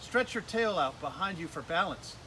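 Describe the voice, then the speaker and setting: A kind mother snow leopard from a storybook, read by a man. The voice is bright and a little high, patiently teaching and encouraging. Light ambient noise is behind it. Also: lively intonation, normal speed, rough recording. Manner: encouraging, instructive. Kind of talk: children's storybook read-aloud.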